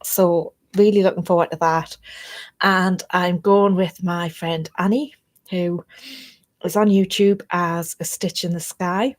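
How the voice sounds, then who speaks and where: North east english lady vlogging, a bit froggy in the throat, rambles along, lowish voice. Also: fairly even intonation, unhurried. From North East England. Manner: chatty and meandering, drifting between topics. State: slightly froggy, chesty throat. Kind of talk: casual chat to camera.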